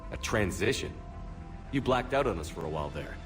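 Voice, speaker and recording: A man's voice: lively intonation, fairly quick, lightly acted. An actor playing a hard-edged male starship commander, terse and firm, over game music. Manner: terse, firm, low-key. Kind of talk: video game voice acting.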